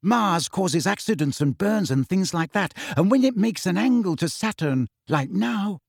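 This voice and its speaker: A man voicing an eager, excitable schoolgirl pupil in an audiobook, earnest in manner. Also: fairly high pitch, normal speed, breathy edge, dry recording, studio quality, voice pushed up, heavily acted. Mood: excited, earnest. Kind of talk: acted audiobook dialogue.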